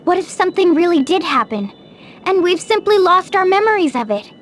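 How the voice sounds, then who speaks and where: A small, girlish companion from a fantasy game. Her voice is extremely high and expressive. She comes across as puzzled and anxious. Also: fairly quick, slight background noise. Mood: worried. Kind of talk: video game voice acting.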